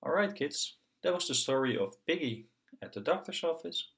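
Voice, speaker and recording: Animated man reading to young children. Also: on the high side, moderate pace. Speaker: children's story narrator and channel host. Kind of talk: read-aloud storytelling for young children.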